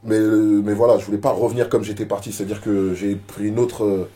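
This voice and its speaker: A man's voice: lowish voice, flat delivery, fairly quick, warm tone. A rapper being interviewed, talking casually and often repeating words while he searches for them. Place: media office.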